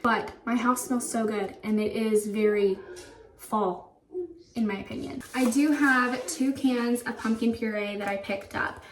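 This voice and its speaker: Slightly raspy young woman chatting. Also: on the high side. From Florida. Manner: relaxed, rambling, uses "like" often. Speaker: vlogger, stay-at-home mom. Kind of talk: casual chatty vlog narration.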